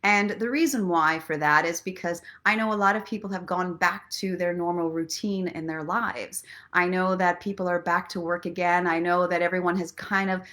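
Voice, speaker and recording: A woman hosting a casual live online show, speaking in an expressive, earnest and encouraging way, with a playful streak. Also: lowish voice, moderate pace. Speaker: host of a live online story and inspiration show. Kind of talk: casual live chat mixed with guided relaxation and motivational talk.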